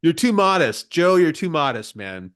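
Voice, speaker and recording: A man's voice: a little high, very animated, normal speed, fairly bright tone, clear, resonant tone. A man from Canada hosting an interview podcast in a casual, informal and appreciative way.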